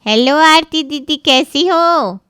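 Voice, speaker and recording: A woman voicing a nosy, excitable middle-aged aunt who exclaims and relishes gossip in a story. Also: high-pitched, takes it slowly, no room sound, studio quality, voice pushed up. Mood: excited gossiping.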